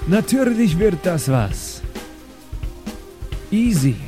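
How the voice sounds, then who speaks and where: Man as a slow, swooping, boastful magician. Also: high-pitched, big pitch swings, over music, heavily acted. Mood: defensive, boastful. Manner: halting, self-assured, defensive. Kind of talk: improvised fantasy audio role-play.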